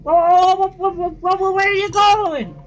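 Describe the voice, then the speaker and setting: A brash young male dragonfly sidekick yells and teases in a high, cheeky voice, voiced by a woman in a fan reading. He is loud and irreverent, never holding back. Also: fairly even intonation, measured pace, soft background music.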